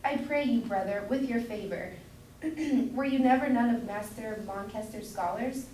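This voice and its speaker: A woman's voice: a little high, a little slow, warm tone, natural voice. A woman playing a chatty, naive, gushing citizen's wife in a staged reading of a period comedy. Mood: coaxing, gushing. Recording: echoey room from far off, faint noise.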